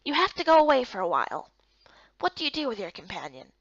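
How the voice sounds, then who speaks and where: A woman's voice: fairly high pitch, sing-song, fast talker, a bit bright, dull audio, exaggerated voice. A woman voicing a patient, helpful male guild guide in a visual novel game, speaking politely and instructively. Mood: polite, questioning.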